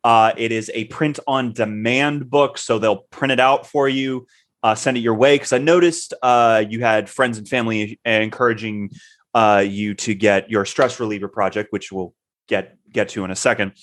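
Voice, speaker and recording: A man's voice: fairly low pitch, steady tone, moderate pace, fairly bright tone. A man co-hosting a podcast in casual chat, talking loosely with many fillers and self-corrections. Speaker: podcast co-host.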